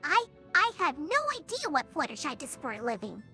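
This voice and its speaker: Young female cartoon pony, very high-pitched, sharp and bright, excitable, dramatic, insistent, fan audio drama. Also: fairly quick, faint music behind, heavily acted. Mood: panicked.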